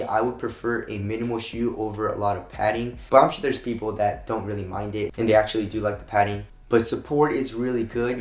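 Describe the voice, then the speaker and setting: A man hosting a casual shoe review, talking straight to his viewers with a voice still slightly hoarse from a cold. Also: medium pitch, echoey room. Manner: chatty, addresses viewers directly as "you guys". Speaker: shoe review channel host.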